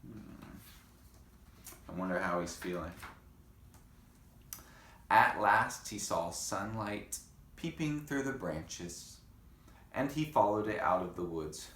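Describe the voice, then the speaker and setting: A man reading a picture book aloud to children, with gentle, chatty asides to young listeners. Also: fairly low pitch, expressive, slow, long pauses, roomy sound. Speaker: read-aloud host.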